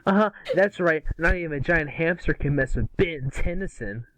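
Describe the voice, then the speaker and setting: A brash, excitable boy hero acted by a man at a table read speaks in a highish, very animated voice. It is a cartoon role, recorded close and dry. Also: moderate pace, no room sound, poor audio. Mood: cocky.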